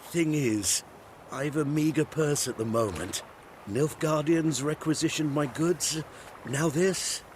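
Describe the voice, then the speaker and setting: An actor playing a nervous, hard-up traveling merchant in a video game, speaking hesitantly and apologetically. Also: male voice, a little high, slow, slight background noise. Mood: uneasy. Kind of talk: video game cutscene acting.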